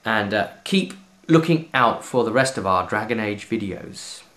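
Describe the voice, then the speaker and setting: A man's voice: on the low side, lively intonation, unhurried, fairly bright tone. A man hosting a casual unboxing video, chatting to viewers in an enthusiastic, emphatic way. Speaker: host of a tabletop gaming video series.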